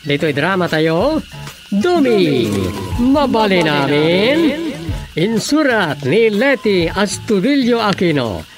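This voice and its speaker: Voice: mid-range voice, very animated, slow. An announcer introducing a radio drama in an emphatic, theatrical style. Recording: music behind the voice. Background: theme music.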